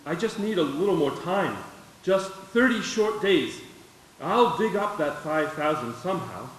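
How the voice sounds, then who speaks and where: A man playing a decent, soft-hearted businessman, earnest and conciliatory, in a live radio play. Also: sits high, expressive, a little slow. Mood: pleading, earnest. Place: stage of a live theater performance. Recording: echoey stage, distant mic, faint music.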